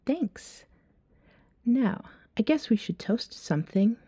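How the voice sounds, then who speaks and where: A self-assured vampire woman, played by a woman, flirts in an intimate ASMR roleplay. Her voice is soft and mellow, recorded dry and close. She takes her time, teasing and coaxing with breathy asides. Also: fairly high pitch, lively intonation, slow, no room sound, full character voice. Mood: teasing, persuasive. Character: flirty, self-assured female vampire chatting up a stranger at a bar. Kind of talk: ASMR roleplay, acted one-sided dialogue.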